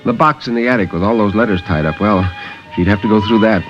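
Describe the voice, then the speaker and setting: A man narrating a story in a theatrical 1950s radio style with measured pauses. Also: on the low side, over music. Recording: dull vintage 1950s radio recording.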